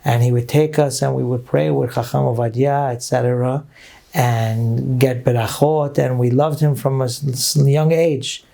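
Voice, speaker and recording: Gravelly, mellow-voiced rabbi from Brooklyn. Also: male voice, mid-range voice, fairly even intonation, moderate pace. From Brooklyn, New York. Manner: earnest, reminiscing, with Hebrew phrases. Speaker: rabbi, guest speaker. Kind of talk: storytelling interview talk.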